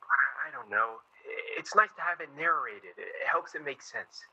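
An actor playing an earnest, gently teasing young newspaperman of the 1940s in a radio play. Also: on the high side, expressive, normal speed, muffled recording, a little hiss or hum.

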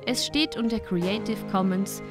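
A woman's voice: medium pitch, measured pace. A woman announcer reading scripted credits over music.

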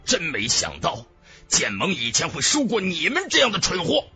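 An actor playing a domineering, scornful young martial-arts clan leader in an audiobook drama, with a raspy, forceful, menacing delivery. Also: male voice, on the high side, huge pitch swings, moderate pace, over loud music. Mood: angry contempt. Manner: commanding, menacing.

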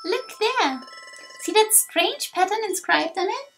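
A woman voicing a young, earnest and excitable little adventurer creature in a video game. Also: extremely high pitch, measured pace, heavily acted. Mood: excited. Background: game music.

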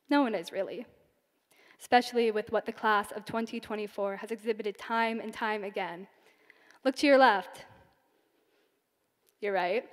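Young valedictorian, earnest and measured, podium mic in a big reverberant hall. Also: female voice, mid-range voice. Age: young adult. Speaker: class valedictorian. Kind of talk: commencement speech. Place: large concert hall stage. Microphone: podium microphone.

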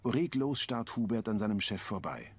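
A man's voice: lowish voice, moderate pace, husky. Man reading scene descriptions. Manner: plain, even reading of scene directions. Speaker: audio description narrator. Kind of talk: scripted narration.

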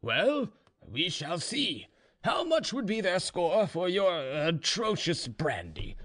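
Storybook drunk serf, boastful and defiant, played by a male reader: high voice, slow, hesitant tipsy delivery. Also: wildly swooping pitch, a bit bright, full character voice. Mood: defiant, commanding.

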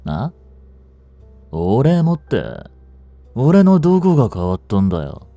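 Languid, mellow young delinquent played by a man. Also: mid-range voice, wildly swooping pitch, slow, no echo, soft background music, full character voice. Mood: casual, teasing. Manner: drawling, gruff, teasing. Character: languid, blunt young male delinquent, protective childhood friend. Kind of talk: intimate Japanese audio drama acting.

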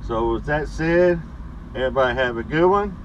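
A middle-aged man who drives a truck, chatting casually in a weekly vlog with a laid-back, rambling manner full of pauses and fillers, recorded by a dashboard camera inside his cab. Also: mid-range voice, expressive, dull audio, a little hiss or hum. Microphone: camera mounted on the dashboard.

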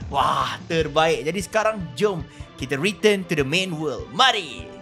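A Malaysian man with a high, bright voice gives excited gameplay commentary. He reacts in exclamations as he hosts, with music faintly playing behind him. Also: measured pace, vocal fry. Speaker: gaming video host.

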